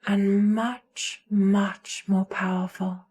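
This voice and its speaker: A woman narrating a guided meditation in a soothing, hushed manner, leaving long pauses between short phrases. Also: medium pitch, little pitch movement, slightly rough.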